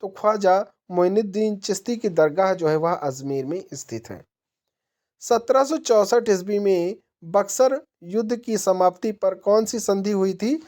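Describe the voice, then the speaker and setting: A woman's voice: medium pitch, a little slow, mellow. A woman narrating a question-and-answer quiz lesson as an exam-prep teacher, methodically posing each question and then answering it.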